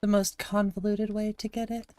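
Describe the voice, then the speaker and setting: A woman from Montana with a gravelly voice, chatting casually to her live-stream audience while she plays a game, thinking aloud and answering chat. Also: mid-range voice, level tone, moderate pace, dark tone, no room sound. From Montana, USA. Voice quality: gravelly, slightly raspy.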